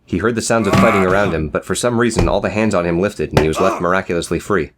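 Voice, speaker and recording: A man's voice: deep voice, fast, background noise. A man narrating a scripted, dramatic story as an audiobook reader.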